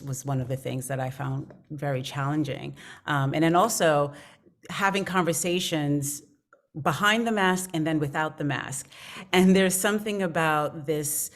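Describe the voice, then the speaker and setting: A woman with a distinctly low voice talks as a guest actor on a panel. Her delivery is informal and reflective, thinking aloud as she goes. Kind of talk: casual, reflective panel talk.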